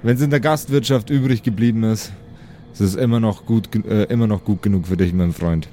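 A man playing a calm, smug paladin jailer in an improvised tabletop game, speaking in measured, mock-courteous phrases. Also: lowish voice, slight background noise, close to an everyday voice. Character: smug male paladin jailer, calmly teasing his prisoner.

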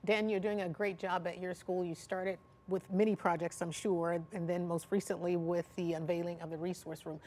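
A woman's voice: on the low side, level tone, normal speed, few pauses, warm tone. A woman hosting a broadcast interview, speaking in an encouraging, affirming way. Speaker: talk show host.

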